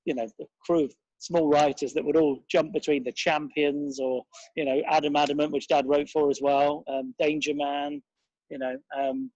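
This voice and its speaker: A man's voice: a little high, level tone, normal speed, darkish tone, no room sound, dull audio. A man giving a casual interview as a guest, reminiscing in a rambling, enthusiastic and digressive way.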